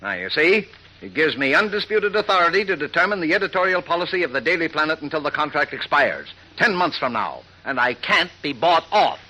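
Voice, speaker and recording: A man's voice: on the high side, very animated, a little fast, bright, forceful tone, full character voice, low-quality recording. A man acting a hot-tempered, middle-aged newspaper editor in a brash, combative 1940s radio style. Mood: combative, indignant. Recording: vintage radio recording.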